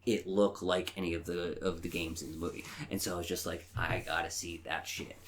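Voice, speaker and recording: Male podcast co-host, low voice, conversational and informal, somewhat halting delivery with repeated phrases. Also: flat delivery. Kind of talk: casual chat about movies.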